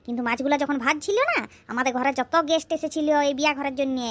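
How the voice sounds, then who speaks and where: A woman's voice: high voice, fairly even intonation, quick pace, close to an everyday voice. A woman voicing a whiny hapless bridegroom. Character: hapless young village bridegroom.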